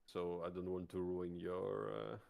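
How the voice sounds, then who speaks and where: Male roleplayer, mellow and flat-toned, hesitant casual narration of his character's moves. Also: sits low, creaky. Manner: hesitant, halting delivery. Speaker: player in a tabletop roleplaying stream.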